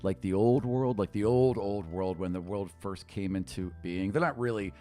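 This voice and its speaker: A man's voice: lowish voice, normal speed, warm tone, faint music behind. A middle-aged man narrating a tabletop game casually as game master, close to the microphone. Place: small indoor studio room. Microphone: close desk microphone on stand.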